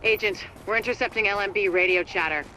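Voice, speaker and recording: An actor playing a businesslike military radio dispatcher in a video game, giving clipped instructions. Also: male voice, high voice, fast talker, background noise, lightly acted.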